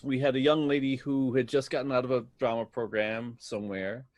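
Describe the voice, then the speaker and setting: A middle-aged American man telling stories about his life in a reflective interview, speaking in a deliberate, halting way. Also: medium pitch, flat delivery, a little mellow, no echo. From United States, Detroit area. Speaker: guest, stage actor.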